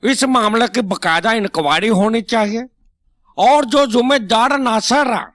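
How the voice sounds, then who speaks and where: A man's voice: very high voice, a little slow, fairly bright tone, no room sound, studio quality. A man with a Pakistani Punjabi accent acting a stubborn, bossy, argumentative host on a parody political talk show. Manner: interrupting, bossy, long-winded.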